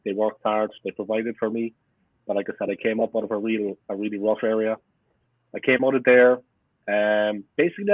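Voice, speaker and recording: A man's voice: fairly low pitch, no echo. A man in his forties with a thick Cork Irish accent comes through a thin, phone-like remote line. His speech is dry and close, with no room sound. He tells his stories earnestly and says things twice to drive them home. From Cork, Ireland. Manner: earnest, repeats himself for emphasis. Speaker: podcast guest, fan channel owner. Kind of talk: casual interview storytelling.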